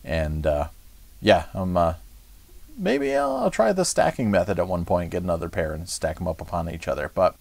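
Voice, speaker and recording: A man's voice: low-pitched, very animated, normal speed, vocal fry, a little mellow, no echo. A man hosting a casual, unscripted home video about his gear in a chatty, rambling, enthusiast's way. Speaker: vlog host. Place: furnished music room at home, indoors.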